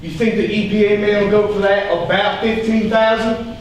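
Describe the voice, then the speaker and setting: Guy playing a folksy fed-up pig farmer boss on stage, high voice, really echoey and far from the mic. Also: steady tone, close to an everyday voice. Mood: testy. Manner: folksy rural phrasing, emphatic, drawn-out delivery. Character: exasperated, blustering male pig farm boss, folksy and volatile. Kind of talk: theatrical stage play acting. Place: theater stage, filmed live from a distance. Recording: echoey theater stage, distant mic, noisy.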